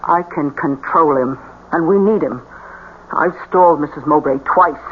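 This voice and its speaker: A woman's voice: low-pitched, unhurried. A fake medium in a radio drama, scheming and firmly in control. She gives orders with a commanding manner. The vintage broadcast sounds thin, with plain background noise. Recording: muffled, noisy old radio broadcast.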